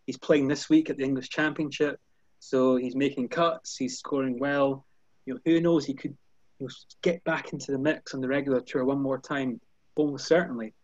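A Scottish man in his thirties talks on a video call, fluent and measured, with lots of "you know" between his points. His voice sits at a middle pitch. The line sounds dull and dry, with no room around it. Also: steady tone, no echo. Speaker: golf journalist. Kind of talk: casual video-call chat. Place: indoor room with curtains behind. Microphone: headphones on a video call.

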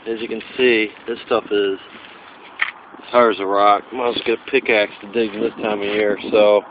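A man chatting excitedly while digging for old bottles in the woods, recorded on a handheld camera. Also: fairly low pitch, normal speed. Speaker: metal detecting vlogger. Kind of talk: casual outdoor vlog narration. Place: wooded area outdoors. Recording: tinny lo-fi camera audio with noise.

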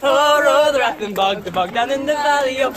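A man leading a cumulative scout song, chanting loudly in a sing-song way. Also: very high voice, fairly quick. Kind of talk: group campfire song, call and response. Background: crowd of young people singing along.

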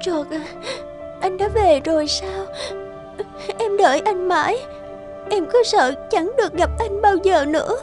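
A woman playing a gentle, loving young wife and new mother, weak, in a cartoon drama. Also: extremely high pitch, unhurried, long pauses. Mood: weak, tender. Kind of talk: dubbed cartoon drama acting. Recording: music behind the voice.

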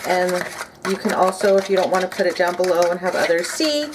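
A woman's voice: lowish voice, lively intonation, fairly quick. A woman in her thirties, chatting upbeat as she cooks a recipe at home and thinks aloud. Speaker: home cooking vlogger. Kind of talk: casual cook-along narration. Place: home kitchen, indoors. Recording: home kitchen, clear noise of dishes and utensils. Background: clinking cutlery and pots.

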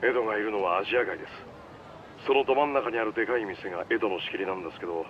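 A man's voice: fairly low pitch, very fast, natural voice. A man voicing a shrewd, polite, easygoing underworld informant in a video game cutscene, explaining things casually over the phone. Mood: casual, cautionary. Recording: dull phone-call sound with street noise.

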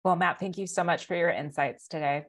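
A woman's voice: fairly low pitch, steady tone, fairly quick. An American woman in her thirties hosting a business news interview in a composed, articulate way. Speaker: interviewer, host.

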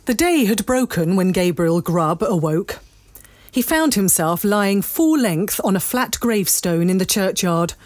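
A woman narrating a dramatic scripted story in measured, deliberate phrases. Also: mid-range voice, expressive, a little mellow, no echo. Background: music.

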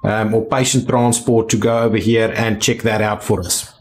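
A man giving casual video game commentary, thinking aloud in a halting way as he plays. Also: fairly low pitch, fairly even intonation. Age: adult. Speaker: gaming video host.

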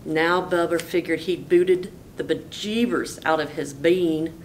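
A woman teacher reading a picture book aloud in a folksy, animated storytelling way. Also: a little slow. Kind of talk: expressive storybook read-aloud. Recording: noisy with low rumble.

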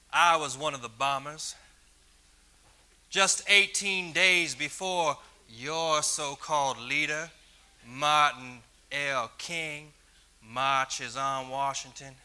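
A man's voice: mid-range voice, clear, resonant tone, exaggerated voice. A man playing a sneering bomber. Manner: taunting, mocking, swings from muttering to shouting. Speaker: actor in a church skit. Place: church stage with audience.

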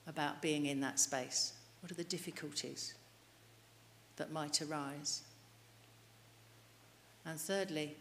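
A middle-aged woman delivers a sermon at a church lectern, her voice low and steady. She earnestly puts questions to the listeners. A long church reverb and faint hiss sit behind her. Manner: earnest, asks the congregation questions. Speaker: preacher. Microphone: lectern mic.

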